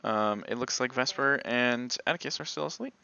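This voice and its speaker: Game master, casual tabletop narration, medium pitch, crisp and bright, dry sound. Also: moderate pace, dry recording, highs cut off.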